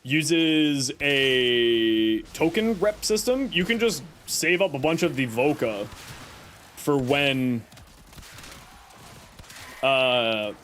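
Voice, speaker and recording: A man's voice: medium pitch, very slow, long pauses, fairly bright tone, slight background noise. A man streaming a game and chatting with viewers, self-deprecating and rambling, who is losing his voice. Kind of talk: casual live-stream chat answering viewers.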